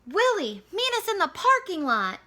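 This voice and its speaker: A plucky young storybook boy, acted out by a woman, pitched high and bright. He is excitable and urgent, calling out in eager exclamations. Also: moderate pace, full character voice. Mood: urgent shouting. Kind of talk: children's picture book read-aloud.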